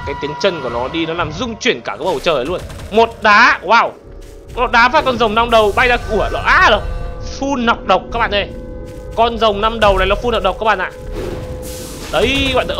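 A man giving excitable gameplay commentary as a channel host, often exclaiming and addressing his viewers. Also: high voice.

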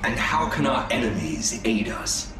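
Echoing, haughty alien warrior. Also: male voice, medium pitch, very animated, measured pace. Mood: cold, sceptical. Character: haughty, cunning male alien warrior advisor. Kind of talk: video game cutscene acting. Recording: roomy echo, with music behind.

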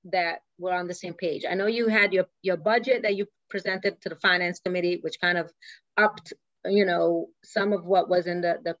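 A woman's voice: lowish voice, fairly even intonation, normal speed, a bit bright, dry recording, lo-fi sound. A woman speaking in a video call meeting, casual and hedging with many fillers. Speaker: working group member.

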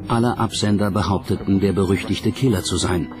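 A man with a fairly low voice reads scripted documentary narration. Music plays prominently under his voice. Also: steady tone, brisk. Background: background music.

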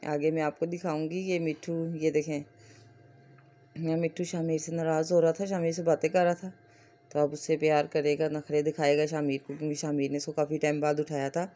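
A woman with a Pakistani accent narrates a family vlog in an informal way. Her pitch stays fairly flat and even as she explains things in a chatty, run-on storytelling manner. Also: brisk. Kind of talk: casual vlog voice-over.

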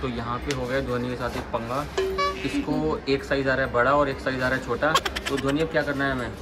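A man's voice: medium pitch, fairly even intonation, a little fast, loud music behind the voice. A man, chatting casually to viewers and children in a teasing way, with lots of questions. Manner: teasing, asks many questions. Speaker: father filming a family vlog. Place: indoors at home and in mall shops.

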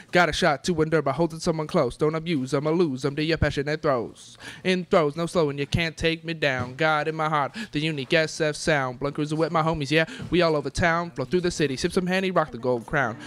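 A man's voice: fairly high pitch, fairly bright tone. A young adult man performing rhymed a cappella rap live on stage. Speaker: spoken-word rapper. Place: indoor classroom stage before small audience.

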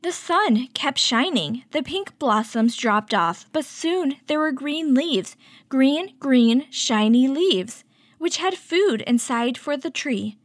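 A woman hosting a children's story time, reading a picture book aloud and teaching with clear, instructive delivery. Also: sits high, expressive, slow, no room sound, clean studio sound. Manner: clear, instructive, addressing young children with questions.

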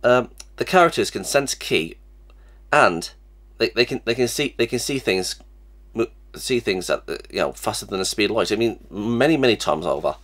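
Young man, low voice, sniffly cold, stammering, restarts, casual vlog, webcam in small room. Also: lively intonation, normal speed, a bit bright. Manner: stammering, many restarts and fillers. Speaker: reaction channel host. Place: small cluttered room indoors.

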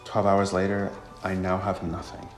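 A man's voice: low-pitched, monotone, moderate pace, natural voice. A man voicing a despairing husband in a visual novel game, weary, bitter and skeptical. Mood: despairing, resigned. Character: despairing husband robbed of his wife, bitter and doubtful. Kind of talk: amateur visual novel voice acting. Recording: roomy with faint music.

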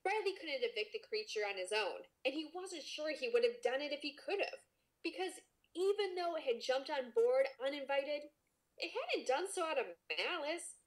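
A woman's voice: high voice, expressive, normal speed, low-quality recording. A woman podcast host reading a story aloud in a dramatic, playful way, with casual comic asides.

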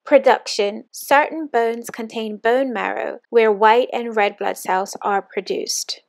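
A woman's voice: fairly high pitch, slow. Woman narrating a fitness lesson. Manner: clear, steady, explanatory. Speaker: fitness course instructor. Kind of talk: scripted slide narration. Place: voice-over, not the pictured slides.

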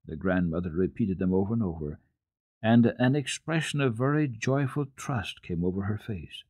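Male children's radio narrator, lowish pitch, close dry sound, dramatic storybook reading. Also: lively intonation, normal speed, a little mellow, husky, no echo.

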